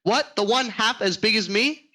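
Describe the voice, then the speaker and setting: A man plays a cheeky young street workman in a radio drama. The voice is high and bright, lively in pitch, with an incredulous edge. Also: a little fast, exaggerated voice. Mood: surprised, cheeky. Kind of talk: radio play acting.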